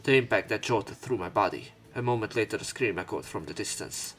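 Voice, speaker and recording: A man's voice: fairly low pitch, lively intonation, a little fast, a bit bright, husky, faint music behind. A man hosting a let's play, reading visual novel narration aloud in a dramatic way, with commentary. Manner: acts out lines and exclamations.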